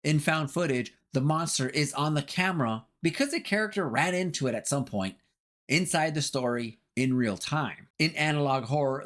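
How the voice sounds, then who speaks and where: A man's voice: medium pitch, fairly bright tone. A man narrating a scripted horror video essay.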